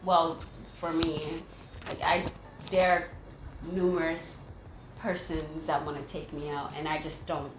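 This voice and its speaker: A woman's voice: low voice, little pitch movement, a little slow, background noise. A woman guest in a casual chat, talking emphatically about dating. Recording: roomy with loud music behind.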